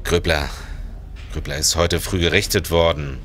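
A man's voice: very deep voice, steady tone, normal speed, a bit bright, slight background noise. A man playing a hearty, persistent police constable with a wry, insistent manner in a historical radio play. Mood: probing.

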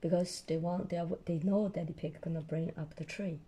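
A woman storyteller with a hoarse voice reading a children's picture book aloud and explaining it simply to a child. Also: fairly low pitch, fairly even intonation, brisk, mellow. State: hoarse, losing her voice.

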